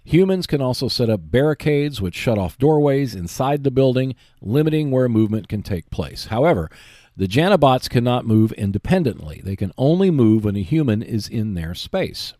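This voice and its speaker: Man hosting a podcast, lively intonation. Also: medium pitch, moderate pace, no room sound, studio quality. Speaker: podcast host. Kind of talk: prepared podcast monologue about board games.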